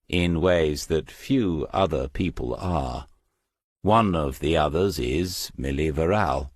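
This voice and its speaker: Very deep, husky male audiobook narrator. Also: no echo, studio quality. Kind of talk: scripted audiobook narration of a crime novel.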